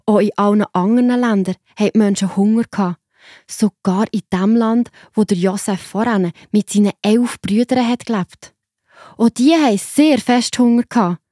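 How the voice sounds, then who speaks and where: A woman's voice: mid-range voice, moderate pace, dry recording, clean studio sound. Swiss German woman telling children a story. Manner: simple, expressive, explaining to children. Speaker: narrator.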